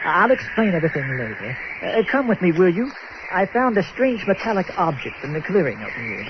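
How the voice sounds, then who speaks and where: An actor playing a courtly, eccentric old gentleman explorer with a formal, precise, stuffy manner in a radio comedy adventure. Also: high-pitched, very animated, brisk. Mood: composed, pompous. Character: courtly, pompous, eccentric ancient gentleman explorer on lost island. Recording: muffled, noisy lo-fi radio recording.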